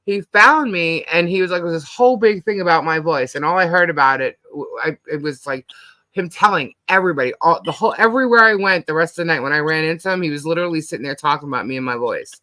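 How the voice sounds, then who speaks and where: A middle-aged American woman with a husky, deep-set voice that still cuts through brightly. She chats casually and bluntly, acting out bits of dialogue as she rambles through anecdotes. The sound is close and dry. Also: animated, quick pace, no echo. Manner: blunt, slangy, animatedly quotes herself and others. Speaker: host of a live panel stream.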